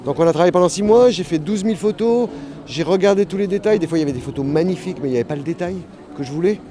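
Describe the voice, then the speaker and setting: A man's voice: high voice, rapid-fire, a crowd in the background. A man being interviewed as a painter, talking casually and enthusiastically outdoors at a festival. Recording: music behind, outdoor event.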